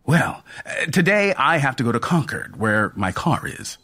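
A man's voice: medium pitch, big pitch swings, normal speed, bright, forceful tone, breathy edge, exaggerated voice. A man voicing a flustered middle-aged male engineer who speaks hesitantly and stammers. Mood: unsettled.

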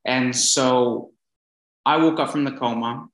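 A young man in his twenties, speaking as an interview guest in a relaxed, earnest way, with many fillers and restarts. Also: medium pitch, takes it slowly. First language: English. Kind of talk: casual storytelling interview. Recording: echoey room.

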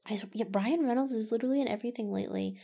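Gravelly, mellow-voiced podcast co-host woman. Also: a little high, racing. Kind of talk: casual podcast banter.

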